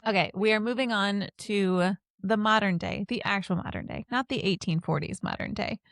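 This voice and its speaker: A woman's voice: medium pitch, moderate pace, fairly bright tone, no room sound. Chatty woman podcast co-host, dry sound. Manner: chatty, rambling, lots of fillers. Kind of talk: casual chat.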